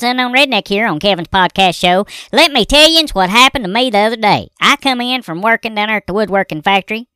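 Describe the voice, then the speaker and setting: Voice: medium pitch, bright, forceful tone, clear, resonant tone, no room sound, studio quality. A performer voicing a rambling, grumbling Southern redneck husband with a folksy drawl, telling home stories on a podcast. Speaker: recurring comedy character. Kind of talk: comedic character monologue.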